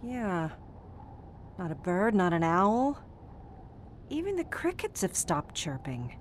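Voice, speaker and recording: An actress playing a determined, bossy bank teller on the run in a period suspense audio drama, urgent and taking charge. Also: female voice, mid-range voice, very animated, slow pace, a little hiss or hum. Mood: uneasy.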